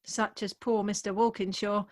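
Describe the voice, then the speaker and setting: A woman's voice: medium pitch, flat delivery, moderate pace, lightly acted. A woman playing a sentimental, jealous young bride in a Victorian comic radio play, with an earnest, declamatory delivery. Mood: high-minded, reproachful. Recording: remote home video-call recording.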